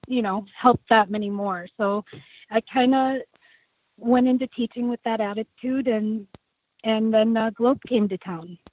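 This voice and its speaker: A woman guest with a voice left low by a tracheotomy talks through her story in a loose, chatty way, stopping and restarting often. She sits slightly high in pitch. The sound is dry and tinny, like a phone call. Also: no echo. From United States. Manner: chatty, many fillers and restarts. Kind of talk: casual interview talk.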